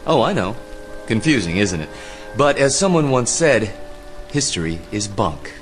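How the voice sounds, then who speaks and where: An actor in a radio play playing a captivating history professor who lectures with measured, theatrical intensity. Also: male voice, fairly low pitch, very animated, soft background music. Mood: genial, teacherly.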